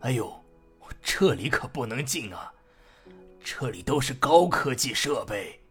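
A man playing a kindly, soft-hearted old security guard in an audio drama, indulgent and given to sighing exclamations. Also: medium pitch, big pitch swings, measured pace, faint music behind. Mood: friendly.

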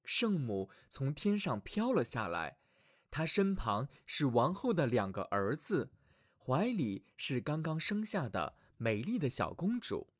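A man narrating fairy tales for children as a storyteller, reading expressively and dramatically from a script with clear phrasing. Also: medium pitch, big pitch swings, a little slow, no room sound, studio quality. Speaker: children's storyteller, show host.

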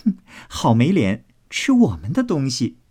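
A man's voice: medium pitch, big pitch swings, moderate pace, darkish tone, voice pushed up. A man voicing a cheeky, spirited young maidservant in a storybook, saucy and mock-indignant with many exclamations.

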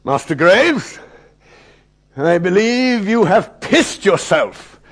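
A man's voice: sits high, big pitch swings, takes it slowly, husky, pitched above the performer's own voice, exaggerated voice. A man voicing a furious, tyrannical British school headmaster, pompous and exacting in his diction. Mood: cold contempt.

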